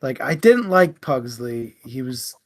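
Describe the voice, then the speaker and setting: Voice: medium pitch, big pitch swings, a little slow. A co-host in a casual book discussion, blunt and joking in the way of talking. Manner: joking, blunt, laughs often.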